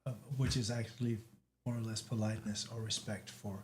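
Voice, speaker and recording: A man from Denmark, not a native speaker, chatting as a guest and pausing often to search for words. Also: on the low side, flat delivery, a little hiss or hum. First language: Danish. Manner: halting, frequent restarts, searching for words. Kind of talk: casual chat.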